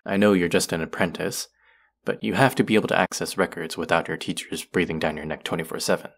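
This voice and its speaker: A man's voice: deep voice, fairly even intonation, fast talker, gravelly, a bit bright, full character voice. A young man acting as an earnest, wry young vampire in an intimate audio roleplay drama. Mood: earnest pleading.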